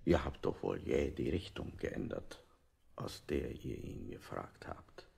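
An actor playing a controlling interrogator in a 1960s experimental radio play, probing and insinuating with menacing politeness. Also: male voice, rumbling low, animated, slow, gravelly, mellow, heavily acted.

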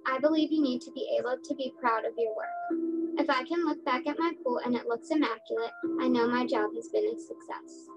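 A woman's voice: sits high, a little fast, rough recording. A young woman lifeguard earnestly reading a scripted testimonial as a voice-over. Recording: voice-over under loud music.

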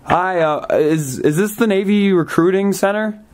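A man's voice: sits high, normal speed, slight background noise. A young man making a prank phone call in character, stammering and hesitant, sounding naive and distressed. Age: young adult. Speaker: prank caller. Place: small bedroom, indoors.

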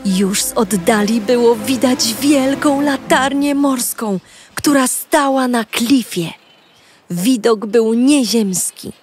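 Polish-accented woman, first-person kids' story voice, slightly high, slow, soft music under. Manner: narrating as a cheerful young girl in first person. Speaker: audio storyteller. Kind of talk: children's audio story narration.

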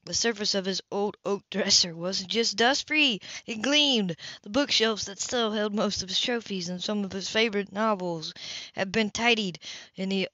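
A woman's voice: mid-range voice, pushed and bright, no echo. A woman reading a novel aloud as an amateur, in a homespun way with the odd stumble over words. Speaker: amateur audiobook reader. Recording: dull lo-fi home recording.

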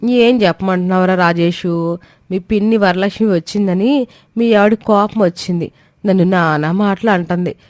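A woman's voice: medium pitch, normal speed, a little mellow, no room sound, clean studio sound, natural voice. A woman voicing a bossy, cruel older mother-in-law in a dramatised moral story, sneering and commanding. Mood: indignant, accusing. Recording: faint music behind.

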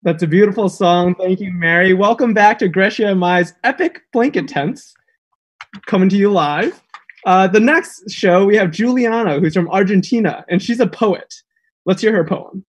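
A man's voice: high voice, measured pace, husky. A man hosting a live show, introducing the next act in an upbeat announcing manner. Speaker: host.

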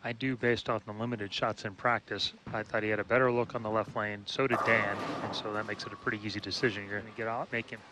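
Male bowling analyst, low-pitched, explanatory tone, noisy broadcast bed. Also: flat delivery, fast talker, few pauses. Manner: technical, explanatory, with frequent fillers. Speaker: color commentator. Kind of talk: analytical sports commentary. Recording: loud broadcast background noise.

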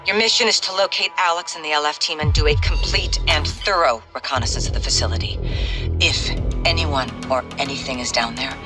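An actress playing a composed American intelligence chief in a military game, giving a clipped, matter-of-fact mission briefing. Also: female voice, low voice, fairly quick, music in the background, lightly acted. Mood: composed, serious. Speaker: intelligence officer.

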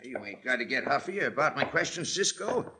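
An actor playing a gruff small-town Western sheriff with a folksy drawl in a 1950s radio drama. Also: male voice, mid-range voice, sing-song, fairly quick, background noise, lightly acted.

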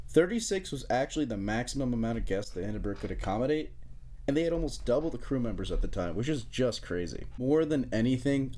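A young American man narrating an explainer in a casual, joking way, with informal wry asides. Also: mid-range voice. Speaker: narrator of an amateur history explainer video.

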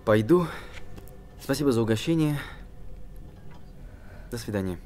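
An actor playing an eager, inquisitive young amateur sleuth in a screen drama, naturalistic delivery. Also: male voice, medium pitch, lively intonation, measured pace, a little mellow, lightly acted. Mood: hesitant, polite. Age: young adult.

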